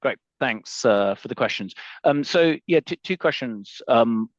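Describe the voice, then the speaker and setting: A man calling in as a financial analyst, asking questions on an earnings call in halting phrases. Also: medium pitch, lively intonation, dry recording. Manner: halting, trails between phrases. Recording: dull, band-limited phone conference audio.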